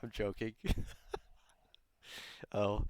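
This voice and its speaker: A man streaming from home, talking through a gaming mic in a hushed whisper like a telemarketer. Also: lowish voice, level tone, extremely slow, no echo, clean studio sound. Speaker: streamer hosting a guest. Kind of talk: casual stream chat. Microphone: gaming headset mic.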